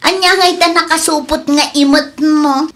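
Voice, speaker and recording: Woman acting high-pitched nosy Ilocano neighbour. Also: steady tone, moderate pace, a bit bright. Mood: mocking, nosy. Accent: Ilocano Filipino. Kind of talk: amateur comedy radio drama.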